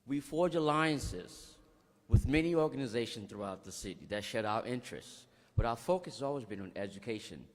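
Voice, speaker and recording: A man's voice: medium pitch, animated, brisk. Man giving a speech in an echoing hall. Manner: formal speech with frequent restarts and thank-yous. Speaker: executive director of a nonprofit. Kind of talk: public speech at a bill signing.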